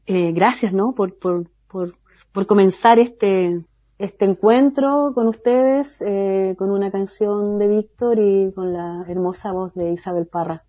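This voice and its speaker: A woman with a Chilean accent, a guest speaking slowly and thoughtfully with many pauses and fillers. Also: mid-range voice, mellow, no echo, muffled recording. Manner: slow, thoughtful, many hesitations. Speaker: guest from a community cultural center. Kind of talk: remote interview talk.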